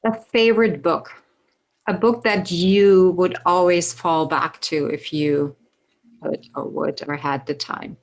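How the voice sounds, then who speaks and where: A woman hosting an interview, asking icebreaker questions in a halting way with frequent restarts. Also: lowish voice, fairly even intonation, low-quality recording. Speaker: host.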